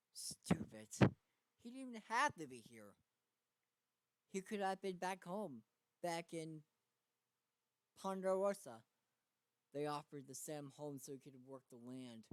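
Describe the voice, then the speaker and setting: A man's voice: mid-range voice, no echo, natural voice. A man voicing a weary pony soldier who berates himself in an exasperated inner monologue.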